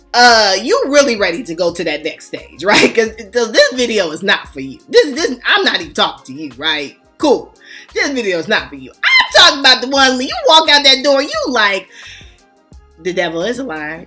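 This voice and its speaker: A woman's voice: a little high, sharp tone. A woman vlog host in a casual comedic storytime chat, playfully teasing and giving mock-serious instructions with frequent check-ins to her viewers.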